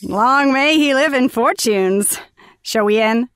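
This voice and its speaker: A woman playing a haughty Athenian lord in a Shakespeare reading, with measured, declamatory delivery. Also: fairly high pitch, slight background noise. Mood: admiring. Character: haughty Athenian lord feasting on others' bounty. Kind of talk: unrehearsed Shakespeare play reading.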